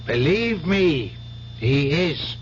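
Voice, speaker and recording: An actor in a radio drama playing a grave, patient priest who admonishes in a solemn, measured way. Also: male voice, fairly high pitch, very animated, over loud music, heavily acted. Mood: grave, admonishing. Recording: muffled old radio recording with hum.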